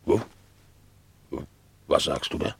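An actor playing a devoted, naive and soft-hearted bulldog in a 1960s German radio play, speaking earnestly and simply. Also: male voice, slow, no echo. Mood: puzzled.

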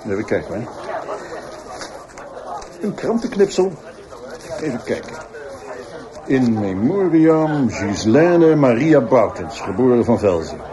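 An actor playing a senior police detective in a radio drama, dry, ironic and commanding in manner. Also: male voice, medium pitch, big pitch swings, takes it slowly, pauses often, a crowd in the background. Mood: inquisitive.

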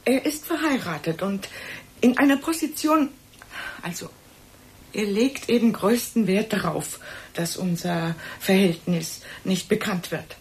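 A woman's voice: medium pitch, a little slow, lightly acted. An actress playing a distressed, evasive woman guarding a secret in a German radio play, pleading and hesitant. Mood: defensive, stubborn.

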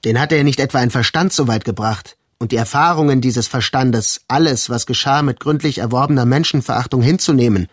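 A man's voice: mid-range voice, fairly quick, hardly any pauses, slightly rough, no room sound, clean studio sound. A man reading scripted literary narration as the narrator of a radio drama.

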